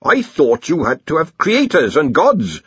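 A man's voice: on the high side, huge pitch swings, measured pace, fairly bright tone, husky, dry recording, voice pushed up, full character voice. A male narrator acts an older wizard academic, fussy and mildly hopeful. The delivery is ponderous but tuneful, lifted above normal talk, on a slightly muffled storybook recording. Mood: mild, suggesting.

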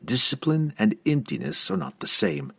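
A man voicing a principled, defiant dark elf warrior in a dry, formal manner for a fantasy audiobook. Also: mid-range voice, big pitch swings, normal speed, gravelly, slightly rough, no echo, pitched above the performer's own voice, full character voice. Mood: grave defiance.

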